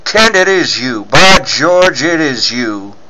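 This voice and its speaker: Man acting as fatherly newspaper editor, bright forceful tone, brisk exclamations, old-time radio drama. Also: medium pitch, big pitch swings, a little hiss or hum, full character voice, rough recording. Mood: surprised, insistent. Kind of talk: 1940s radio serial acting.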